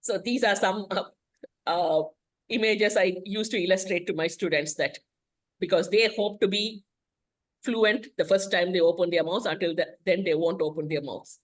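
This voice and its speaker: A woman's voice: on the low side, fairly even intonation, moderate pace. A woman whose first language is Tamil, giving a frank, explanatory presentation on a video call as an English teacher. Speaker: guest speaker, English teacher.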